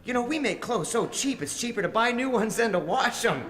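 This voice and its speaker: A man's voice: very high-pitched, animated, a little fast, a bit bright. An actor playing a zealous, self-assured born-again American businessman and father in an audio drama, with a folksy, preacherly manner. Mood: fervent preaching. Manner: folksy, preacherly, rhetorical questions. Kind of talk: audio drama acting, preaching speech and confessional narration. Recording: music playing behind the voice.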